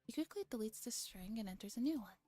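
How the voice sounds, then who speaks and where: A woman streamer reading a visual novel aloud in a soft, relaxed ASMR style, sometimes slipping into a whisper, with casual chat. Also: a little high, fast talker. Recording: music playing behind the voice. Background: music.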